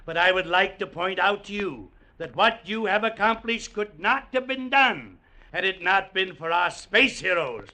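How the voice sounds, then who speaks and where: Proud martian leader, high sharp voice, speechifying like old time radio. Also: male voice, heavily acted, poor audio. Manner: declamatory, formal, oratorical. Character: pompous Martian commander addressing his victorious troops.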